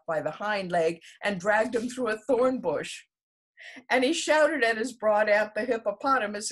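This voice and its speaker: A woman storyteller reading a children's story aloud expressively, in a husky voice. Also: medium pitch.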